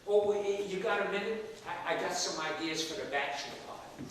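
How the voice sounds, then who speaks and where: A man's voice: high voice, fairly quick, natural voice. An older man acting on stage as a roguish, stubborn, affectionate elderly father, pleading his case with theatrical persuasion. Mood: playful. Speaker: stage actor. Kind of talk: stage play dialogue. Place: theatre stage, recorded from the audience area. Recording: echoey theatre hall, distant mic, faint noise.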